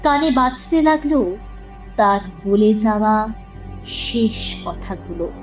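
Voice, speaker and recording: A woman narrating a scripted story in a measured, expressive storytelling manner. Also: mid-range voice, soft background music, rough recording.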